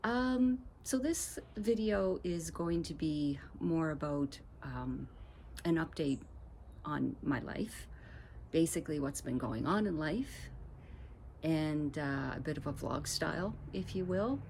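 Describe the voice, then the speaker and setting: A low-pitched woman in her fifties chats casually in a vlog, sounding slightly stuffy from allergies. She rambles and laughs between thoughts. Faint outdoor ambience sits behind her on the deck, picked up by the camera mic. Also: slow, a little mellow, a little hiss or hum. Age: 50s. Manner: chatty, rambling, laughs often. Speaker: vlogger. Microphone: camera mic, no lapel mic.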